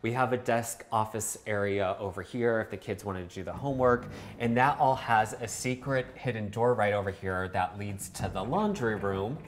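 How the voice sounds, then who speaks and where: A man's voice: on the low side, normal speed, few pauses, slight background noise. A man in his thirties hosting a casual home walkthrough, talking to viewers from inside an apartment. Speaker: house tour host, renovator. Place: indoors, in an apartment.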